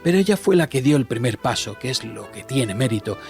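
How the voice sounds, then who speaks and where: An actor playing a wry, grandiloquent storyteller who introduces a period comedy in scripted radio drama narration. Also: male voice, mid-range voice, animated, brisk, creaky. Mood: wry, storytelling. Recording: music under the narration.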